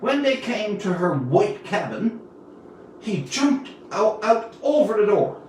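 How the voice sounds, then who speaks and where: A man in his seventies narrates a story with a fairly high voice that swings widely in pitch. He takes his time, setting short phrases apart with deliberate dramatic pauses. The recording is roomy and a little distant from the microphone. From Newfoundland, Canada. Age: 70s. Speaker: author reading own story. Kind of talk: expressive storybook narration, reading aloud. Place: indoor room, livestream reading. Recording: roomy, distant mic with faint noise.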